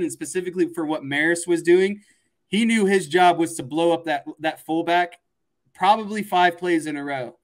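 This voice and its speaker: A man giving casual sports analysis on a podcast, explaining things and repeating phrases for emphasis. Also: on the high side.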